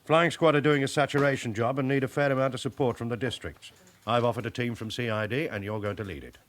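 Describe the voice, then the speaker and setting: Man playing brusque British police chief, medium pitch. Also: fast talker, natural voice. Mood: businesslike, commanding. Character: brusque, commanding male senior police boss. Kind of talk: naturalistic British radio drama acting.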